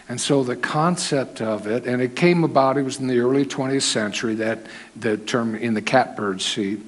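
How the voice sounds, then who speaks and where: Male preacher, naturally loud voice kept in check, conversational church teaching, questions to the room. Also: medium pitch. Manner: loud voice held down, conversational with restarts, asks the audience questions. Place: church hall.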